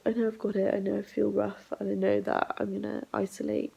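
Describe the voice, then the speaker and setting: A young woman vlogging at home while sick and congested, rambling in a low, half-whispered voice. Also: level tone, brisk, vocal fry, dark tone, husky. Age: young adult. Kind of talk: casual diary vlog. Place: flat, indoors.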